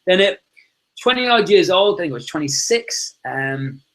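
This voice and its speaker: A man's voice: sits high, expressive, normal speed, a bit bright, rough recording. A man, chatting casually and enthusiastically as a podcast guest, rambling and calling everyone "man" and "dude". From Liverpool, England. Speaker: podcast guest, success coach. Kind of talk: casual conversation.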